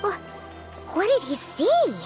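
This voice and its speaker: A woman voicing a tiny, chirpy, childlike fairy companion in a video game, squeaky and full of exclamations. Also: very animated, slow pace, exaggerated voice. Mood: puzzled, worried. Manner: exclamatory, chirpy, refers to self in third person. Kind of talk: English video game voice acting. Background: game music.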